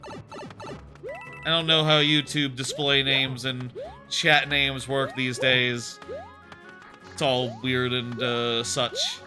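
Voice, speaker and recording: A man's voice: medium pitch, fairly even intonation, very slow, long pauses. A man casually chatting while streaming a game, rambling with lots of fillers, a bit sniffly with a sore throat. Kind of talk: casual gameplay commentary. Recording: game music playing behind. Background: game music.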